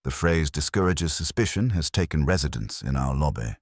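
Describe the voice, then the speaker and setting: A man's voice: extremely low pitch, lively intonation, fairly quick, no room sound, clean studio sound, full character voice. A man voicing a cautious, discreet male bank manager who speaks formally and pompously, in an audiobook story. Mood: guarded.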